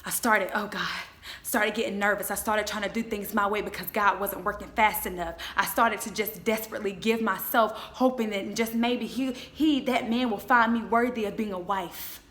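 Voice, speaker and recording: A woman in her twenties giving a motivational faith talk, speaking in an emphatic, exhorting way with deliberate repetition. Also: medium pitch, steady tone, fairly bright tone. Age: 20s.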